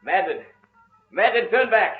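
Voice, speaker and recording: An actor playing a domineering, guilt-ridden master of a house, commanding and melodramatic in 1940s radio drama style. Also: male voice, sits high, animated, moderate pace. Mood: angry, commanding. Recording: phone-like old radio recording, music.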